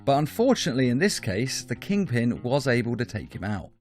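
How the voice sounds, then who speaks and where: A man's voice: mid-range voice, big pitch swings, brisk, very noisy. A man hosting a countdown video, reading scripted commentary in an emphatic, explanatory way. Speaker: host of a top-five countdown video. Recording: music behind the voice.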